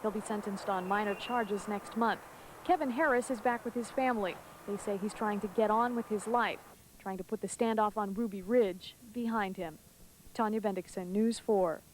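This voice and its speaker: A woman's voice: mid-range voice, normal speed, a little hiss or hum, rough recording. A woman reporter narrating a scripted television news package.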